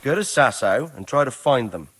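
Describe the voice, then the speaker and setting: Game noble: older lord, mid-range, animated intonation, commanding, cautious, fatherly, formal. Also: mid-range voice, moderate pace, slightly rough. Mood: commanding, urging. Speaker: voice actor in a video game. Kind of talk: dramatic video game dialogue.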